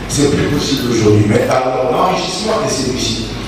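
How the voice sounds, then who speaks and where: A man's voice: mid-range voice, expressive, a little fast. A man, an assembly member, speaks hesitantly in a debate with many filler sounds. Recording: echoey hall, mic far off, noisy.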